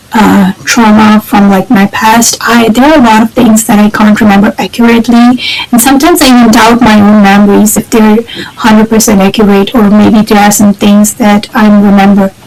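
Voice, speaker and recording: A woman's voice: fairly high pitch, a little mellow, low-quality recording. A young woman whose first language is not English, talking casually to camera in a rambling, hesitant way with many fillers. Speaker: vlogger. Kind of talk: casual personal vlog.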